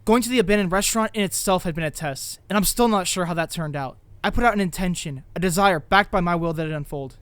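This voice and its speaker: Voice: mid-range voice, fast talker, hardly any pauses, fairly bright tone, lightly acted. An actor playing an anxious, driven teenage boy who narrates his own story intensely in a dramatic comic dub. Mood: brooding, determined.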